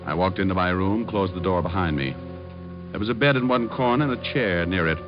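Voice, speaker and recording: A man's voice: sits low, fairly quick, natural voice. An actor playing a dry, wisecracking male private detective who also narrates, in a 1940s radio drama. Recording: lo-fi old radio with music.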